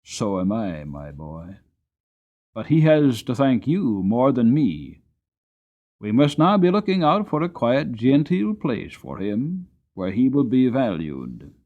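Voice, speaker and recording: A man voicing a kindly old grandfather, a gentleman farmer, in a dramatic storybook reading with a deliberate, encouraging, courteous manner. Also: medium pitch, big pitch swings, phone-quality sound. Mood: fond, thoughtful. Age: older.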